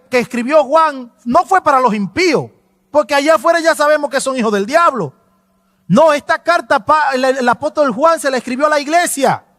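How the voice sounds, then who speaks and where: A man's voice: very high voice, a bit bright, dry recording, clean studio sound, in a large hall. A pastor preaching a fervent, emphatic sermon. He repeats himself for emphasis in a church sanctuary.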